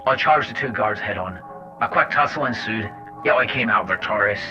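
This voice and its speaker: A man's voice: on the low side, normal speed, lightly acted. A man acting a sardonic, hot-tempered agent who tells his story in colloquial first-person drama narration. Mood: defiant. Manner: colloquial, wry, swearing. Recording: dull lo-fi cassette with music.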